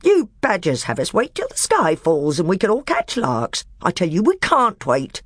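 A man doing a snarling bitter dwarf, high and loud. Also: wildly swooping pitch, moderate pace, slightly rough, no room sound, clean studio sound, exaggerated voice. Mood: angry. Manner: snarling, accusing.

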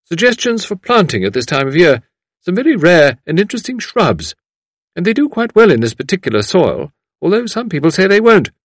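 A man playing a fluttery, high-pitched gushing woman. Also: sing-song, a little fast, dry recording, studio quality. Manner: effusive, flustered, stammering. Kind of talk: audiobook character voice.

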